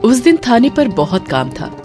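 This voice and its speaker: A woman's voice: a little high, very animated, normal speed, over music. A woman narrating a scripted horror story in a dramatic storytelling style.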